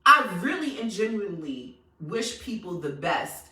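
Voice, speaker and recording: A woman's voice: medium pitch, unhurried, echoey room, recorded from a distance. A woman from New York with a New York accent, ranting passionately and sassily straight to her audience with emphatic repetition. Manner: animated, sassy, emphatic repetition. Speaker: vlogger. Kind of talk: passionate direct-to-camera rant.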